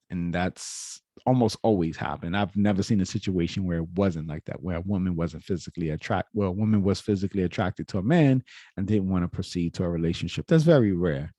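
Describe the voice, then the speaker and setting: Dark-voiced man lecturing. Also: deep voice, dry recording. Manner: lecturing, frequent restarts and self-corrections. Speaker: reaction video host. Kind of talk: casual opinionated commentary.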